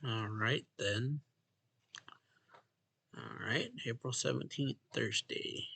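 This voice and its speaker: A man's voice: medium pitch, takes it slowly, gravelly, pushed and bright, breathy edge. A man hosting a let's play, reading a visual novel's narration and dialogue aloud and casually acting out the lines.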